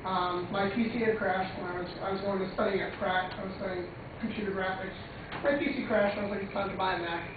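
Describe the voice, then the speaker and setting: A woman in her twenties presents informally to a group in a loose, conversational manner. She is heard from far off in a very reverberant room. The sound is thin and lo-fi, with clear noise around her. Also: medium pitch, steady tone, quick pace. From Long Island, United States. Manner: rambling, conversational, uses "like" often. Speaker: student presenter, creative trainer. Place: echoey room, recorded from far off. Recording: muffled lo-fi, echoey room, distant mic, noisy.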